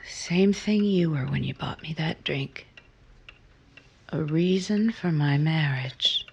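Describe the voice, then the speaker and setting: Woman acting a breathy, wistful wife in radio romance. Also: low-pitched, slow, lo-fi sound, exaggerated voice. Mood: subdued, searching. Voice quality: breathy, husky, often half-whispered. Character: disillusioned married woman, wistful, bruised, romantic, gently challenging. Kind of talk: 1950s radio drama acting.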